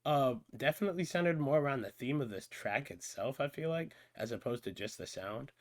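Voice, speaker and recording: A man in his thirties giving a casual music reaction, thinking aloud with many fillers and pauses, on a headset in a small room. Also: medium pitch, expressive, dry recording.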